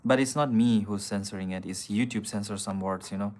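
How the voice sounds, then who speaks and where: A man's voice: fairly low pitch, a little fast, a little mellow. A man on a casual live stream, answering viewer comments in a blunt, profane, argumentative way. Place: city streets outdoors.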